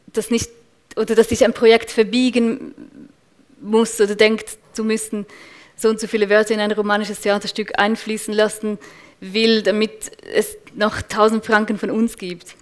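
A woman's voice: mid-range voice, little pitch movement, normal speed. A woman in her thirties with a Swiss accent, answering on a panel in a thoughtful way, with many restarts. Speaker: panel guest, culture officer. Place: event room with large windows.

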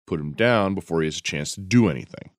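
A man's voice: low-pitched, expressive, fast talker, no room sound, studio quality. A man playing a decisive, combative fighter in an audio drama, firm and blunt. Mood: resolute. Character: decisive, combative fighter urging direct attack.

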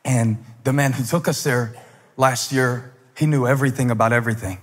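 A man in his forties preaching a sermon on a church stage into a handheld mic, telling a story and then building to loud, fervent emphasis. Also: mid-range voice, fairly even intonation, moderate pace, slight background noise. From United States. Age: 40s. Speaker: pastor. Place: large stage in a church hall. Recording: long hall reverb.

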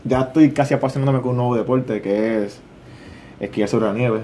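A young man with a mixed Puerto Rican and Dominican accent, answering interview questions in a shy, modest, soft-spoken way. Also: mid-range voice, normal speed, a little hiss or hum. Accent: Puerto Rican and Dominican mix. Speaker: interview guest. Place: small studio with brick-look wall. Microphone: desk boom mic.

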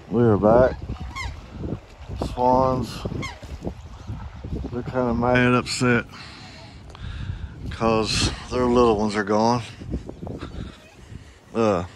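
A man's voice: mid-range voice, fairly even intonation, very slow, long pauses. A man giving a rambling, folksy walkaround of his farm, recorded outdoors on a handheld phone. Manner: rambling, folksy, thinking aloud with many fillers. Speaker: farm owner vlogger. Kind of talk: casual walkaround vlog. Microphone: phone held while walking. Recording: wind noise on phone mic outdoors. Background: wind and outdoor ambience.

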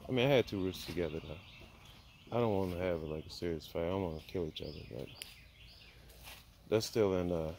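A man's voice: low voice, fairly quick, vocal fry, pauses often, a little mellow, slight background noise. A man giving a casual homestead tour outdoors, thinking aloud with many fillers, filmed on a handheld phone. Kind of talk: casual walk-and-talk vlog. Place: outdoor backyard by chicken coops. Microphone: handheld phone camera.

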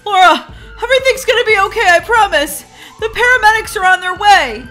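Woman acting goofy worried young husband, extremely high bright voice, dramatic, fretful, tactless, children's skit. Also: animated, brisk, faint music behind, heavily acted. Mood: fretful, tender. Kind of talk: playful skit role-play for children.

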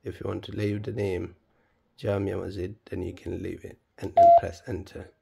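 A man presenting a step-by-step tutorial in a plain, halting, instructional manner. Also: low-pitched, level tone, vocal fry, very noisy. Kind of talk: step-by-step spoken tutorial, unscripted.

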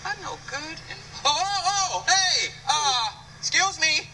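A man in his thirties, from Chicago, doing a lively stand-up set with a handheld mic on a large stage. His voice sits high and sounds very bright. He is heard from some distance, with faint room noise behind. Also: takes it slowly. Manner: animated, conversational, playful teasing of the crowd. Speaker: stand-up comedian. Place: stage in a large indoor venue. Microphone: handheld microphone.

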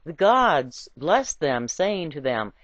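A woman's voice: low voice, very animated, takes it slowly, no room sound. A woman reading a satirical essay aloud in a playful, theatrical storytelling manner, on a lo-fi recording. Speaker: reader of a written essay. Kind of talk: expressive scripted narration of a satirical essay. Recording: dull, lo-fi home recording.

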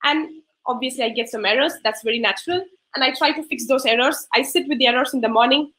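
A woman's voice: high voice, fast talker, bright, forceful tone, low-quality recording. A woman presenting her coding project on a video call. She laughs often and speaks in an earnest way. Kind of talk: online presentation talk.

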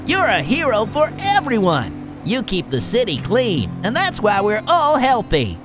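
A kind cartoon tow truck, reassuring and encouraging. The voice takes its time, calm and unhurried, over background music. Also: medium pitch. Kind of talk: children's cartoon acting.

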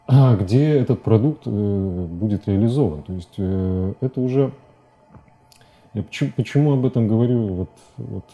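A man in his forties talking as a guest in a studio interview, halting and repeating words as he searches for phrasing. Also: fairly low pitch, mellow. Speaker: interview guest, animation director. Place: TV studio at a desk. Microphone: lapel mic.

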